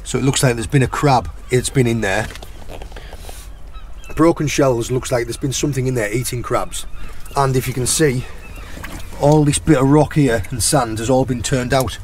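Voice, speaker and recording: A hoarse, croaky middle-aged man talks casually while foraging outdoors on a rainy rocky shore. His pitch sits in a middle range, and he keeps up a chatty flow. A faint hiss of noise runs under the voice. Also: normal speed, darkish tone. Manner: chatty, points things out as he goes. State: hoarse, croaky sore throat. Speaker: channel host and foraging guide.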